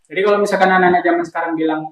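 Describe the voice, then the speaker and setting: Brisk young Indonesian-accented host. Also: male voice, high voice, steady tone, roomy sound, lo-fi sound. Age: 30s. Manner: brisk, agreeable, frequent short affirmations. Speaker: host interviewing his father. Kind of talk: casual vlog interview.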